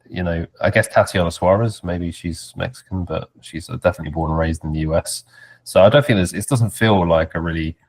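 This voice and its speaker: A man co-hosting a sports podcast, talking in a measured, hedging way. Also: low voice. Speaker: podcast co-host. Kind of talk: casual podcast chat.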